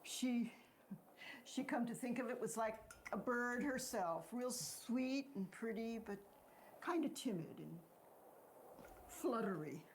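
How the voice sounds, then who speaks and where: A woman's voice: a little high, animated, takes it slowly, pauses often, slight background noise. A woman playing a regretful, plain-spoken country farm wife in a stage drama, with a hesitant, folksy way of talking. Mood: gentle, curious. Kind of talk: stage drama dialogue acting.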